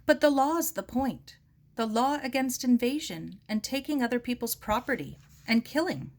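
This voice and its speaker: A woman voicing a firm, compassionate middle-aged aunt in an earnest, measured way. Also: fairly high pitch, expressive, voice pushed up.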